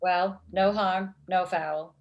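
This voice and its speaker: A woman playing a blunt female matchmaking robot with formal, deadpan phrasing in a comedy table read over video call. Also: lowish voice, slow, dull audio. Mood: deadpan teasing. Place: indoor room at home.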